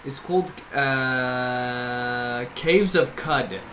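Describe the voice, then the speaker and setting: A man's voice: mid-range voice, very slow, slight background noise. A young man streaming a game, chatting casually and rambling with his viewers. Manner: rambling, laid-back, uses bro and dude. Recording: loud game music behind the voice. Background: loud video game music.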